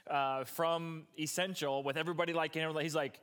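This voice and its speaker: Hoarse pastor with a strained voice. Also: male voice, a little high, fairly even intonation, brisk, fairly bright tone. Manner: casual, rambling asides. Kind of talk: sermon.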